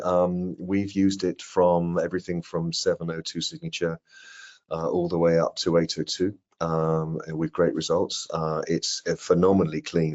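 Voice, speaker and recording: A middle-aged man with a husky voice giving a product training presentation on a video call and taking questions. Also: sits low, a little mellow. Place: indoor room on a video call. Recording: dull, lo-fi video call audio.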